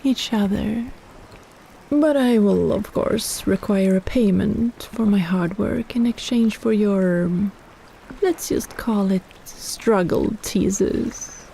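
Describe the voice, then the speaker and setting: Woman as a slow, purring, possessive kraken. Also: mid-range voice, gravelly, mellow, slight background noise, rough recording. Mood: satisfied, doting. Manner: teasing, purring, drawn-out condescending coaxing. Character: possessive, teasing, scheming female kraken. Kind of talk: roleplay audio drama, intimate ASMR.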